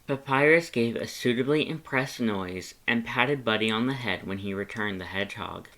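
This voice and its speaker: A man's voice: mid-range voice, moderate pace, fairly bright tone, low-quality recording. A man reading scripted story narration, as the narrator of a piece of fiction.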